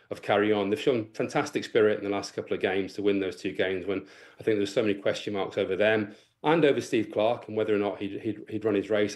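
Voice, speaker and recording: A middle-aged Scottish-born man, a football correspondent, talking quickly over a video call from home, often repeating words. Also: fairly low pitch, a little mellow. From Scotland. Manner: rapid, chatty, many repeated words. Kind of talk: remote studio discussion. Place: home room with brick wall.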